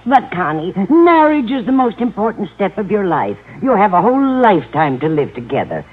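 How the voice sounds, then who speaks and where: An actress playing a fluttery, well-meaning older landlady with a husky voice and motherly fussing, in vintage radio sitcom style. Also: medium pitch, very animated, normal speed, over a noisy crowd, very noisy, close to an everyday voice. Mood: earnest, fussing. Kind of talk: 1950s radio sitcom acting. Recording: tinny old radio with audience.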